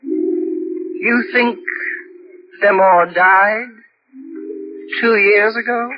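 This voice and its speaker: A woman's voice: mid-range voice, extremely slow, music in the background. An actress playing an anxious, grave middle-aged mother in dramatic 1940s radio style. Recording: muffled vintage radio with faint noise.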